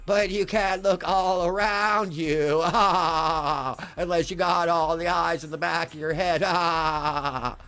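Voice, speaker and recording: Man voicing a cranky old sheep shopkeeper, high and loud, slow, bleats between phrases, storybook animal. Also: steady tone, clean tone, faint music behind. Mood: grumbling. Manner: bleats, tacks "ah" and "bah" onto phrases. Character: old female sheep shopkeeper, knitting, crotchety.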